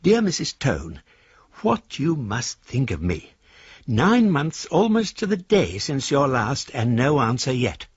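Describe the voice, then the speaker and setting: An actor playing a courtly, pompous older gentleman in a radio drama, reading his ornate letters aloud. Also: mid-range voice, huge pitch swings, slow, slightly rough, no echo, highs cut off, full character voice. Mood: expansive, self-satisfied. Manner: pompous, flowery, ornate phrasing. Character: courtly, florid older gentleman, newly rich and travelling.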